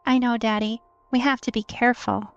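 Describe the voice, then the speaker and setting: Woman acting a high, plaintive vampire girl. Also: level tone, a little fast, vocal fry, no room sound, muffled recording, faint music behind. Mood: meek, hungry. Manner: childlike, plaintive. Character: hungry, meek young vampire daughter, obedient and clinging. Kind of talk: dramatic audio theatre acting.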